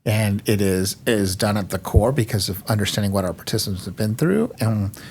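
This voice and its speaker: A man's voice: fairly low pitch, expressive, fairly quick, a little mellow, a little hiss or hum. A man hosting a podcast, summarizing points in an easy, affirming way with light humor. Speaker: podcast host. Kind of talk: casual podcast conversation. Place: studio room.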